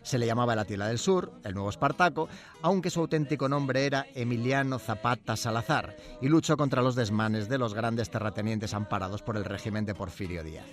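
A man's voice: mid-range voice, fairly quick, faint music behind. A male presenter reads a history segment from a script. He slips in wry, ironic asides as he goes. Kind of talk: scripted humorous history narration.

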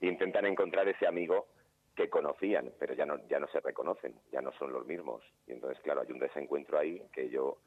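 A man's voice: very deep voice, fairly quick, husky, dry recording. A man from Spain, a playwright and actor, talking thoughtfully in a casual radio interview, often restarting his sentences. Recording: tinny phone line.

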